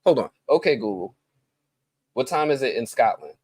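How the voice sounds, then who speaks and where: A man hosting a live stream, chatting casually and greeting viewers in slang-heavy talk, his voice jumping up with excitement. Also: mid-range voice, moderate pace, husky, no room sound, clean studio sound. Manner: excitable, voice jumps up when greeting people; relaxed slang-heavy talk with many fillers.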